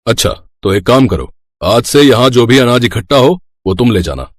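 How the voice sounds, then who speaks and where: A man voicing a gruff but kindly middle-aged grain merchant in a children's moral story, plain-spoken and brotherly. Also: mid-range voice, very animated, normal speed, dry recording. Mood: businesslike.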